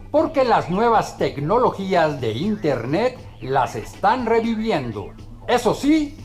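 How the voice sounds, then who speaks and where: A man with a Colombian accent presenting a scripted television segment as host and viewers' advocate. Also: high-pitched. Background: music.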